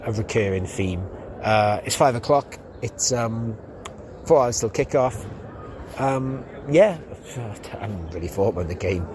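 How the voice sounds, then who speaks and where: Chatty man outdoors. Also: lowish voice. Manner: rambling, chatty, many ums. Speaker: football podcast host. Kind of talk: casual walking vlog. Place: outdoors on city streets. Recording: outdoor street noise. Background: street and traffic noise.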